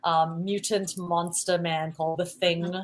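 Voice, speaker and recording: A woman with a light Singaporean accent talks easily as an interview guest. She is playful and chatty, laughs often and fills her pauses with "um" as she tells her stories. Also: on the low side, level tone, a little hiss or hum. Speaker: musical guest. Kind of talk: casual interview chat.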